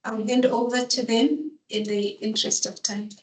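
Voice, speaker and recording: A woman's voice: fairly high pitch, level tone, normal speed. A woman moderating a seminar, introducing speakers in a hesitant way with many fillers. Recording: echoey conference room.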